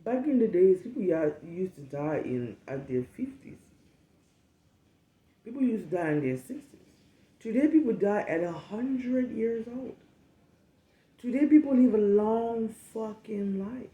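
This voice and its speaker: A woman's voice: mid-range voice, expressive, measured pace, pauses often, roomy sound, narrow, tinny audio. A woman in her late twenties from Cameroon with a Cameroonian accent, hosting a candid solo podcast, frank and emphatic with repetition. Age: late 20s. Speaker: podcast host.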